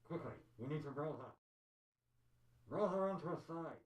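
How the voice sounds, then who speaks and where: A man's voice: fairly high pitch, animated, normal speed, voice pushed up, lightly acted. An urgent stallion doctor from a pony story, acted out by a male narrator, barking clipped, commanding orders. The voice sounds distant and muffled, washed in echo.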